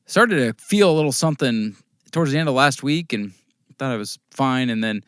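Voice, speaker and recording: American man, hoarse, podcast host, casual rambling recap, mid pitch, dry close mic. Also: lively intonation, brisk, fairly bright tone, no echo. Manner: rambling, conversational, lists results with fillers. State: losing his voice, coughing at times. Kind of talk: casual race recap talk.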